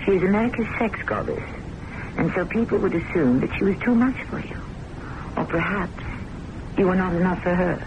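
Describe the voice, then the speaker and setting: An actress in an old-time radio drama playing a prim, devoted secretary, formal and precise, quietly disapproving and anxious. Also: female voice, on the low side, lively intonation, a little fast, close to an everyday voice. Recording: dull, noisy old radio recording.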